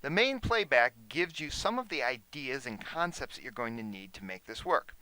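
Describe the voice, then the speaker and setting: Man instructor with a bright, lively voice. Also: mid-range voice, normal speed. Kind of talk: explanatory software demonstration.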